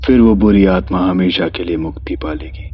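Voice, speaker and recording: Horror story priest, male actor: very low, husky, grave, protective and instructive; dull recording over music. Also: normal speed. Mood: instructing. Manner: solemn, instructive. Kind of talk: dramatized horror story dialogue. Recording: dull lo-fi sound with music behind.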